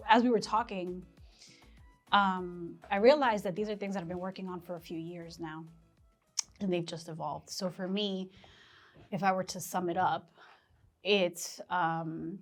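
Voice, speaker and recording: Reflective woman in a casual podcast chat. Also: medium pitch. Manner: reflective, thinks aloud with pauses. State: losing her voice. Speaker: podcast co-host.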